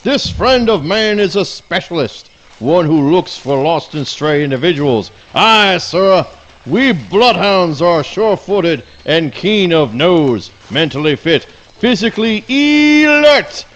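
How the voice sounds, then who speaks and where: Man playing a pompous, high-pitched bloodhound. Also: sing-song, slow pace, fairly bright tone, dull audio, heavily acted. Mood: boastful. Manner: grandiloquent, theatrical, flowery formal diction. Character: pompous, clumsy male bloodhound, self-styled faithful companion. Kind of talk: live comic radio play reading.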